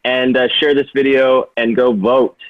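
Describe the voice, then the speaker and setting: A man's voice: mid-range voice, level tone, measured pace. A man giving a casual organiser's sign-off on a video call, thanking participants and addressing viewers. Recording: dull lo-fi, dry video call audio.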